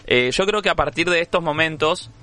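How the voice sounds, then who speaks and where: Bright-voiced Argentine host, chatty. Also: male voice, a little high, a little fast. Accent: Argentine Spanish. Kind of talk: casual sports radio chat.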